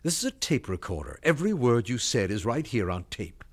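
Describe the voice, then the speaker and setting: An actor playing a ruthless middle-aged tycoon who talks with cold contempt, in a 1970s radio drama. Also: male voice, medium pitch, big pitch swings, fairly quick, husky. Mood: contemptuous. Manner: sneering, contemptuous.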